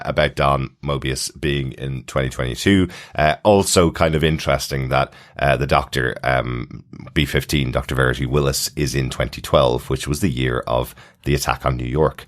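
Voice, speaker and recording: A man's voice: very deep voice, moderate pace. A man hosting a podcast, chatting casually as he recaps a TV episode in a conversational way. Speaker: podcast host.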